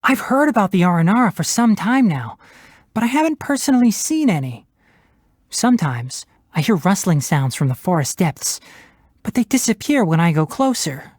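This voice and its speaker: Young fox-eared forest ranger, game character, very animated pitch, dry wit, articulate, scholarly, close dry sound. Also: male voice, normal speed, dry recording. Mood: calm, matter-of-fact. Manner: precise, articulate, wry, matter-of-fact. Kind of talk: video game character voice lines.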